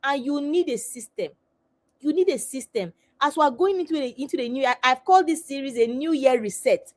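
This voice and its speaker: A woman's voice: high voice, animated, a little fast. A middle-aged woman hosting a live webinar, teaching with emphatic repetition and rhetorical questions. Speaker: coach and webinar host.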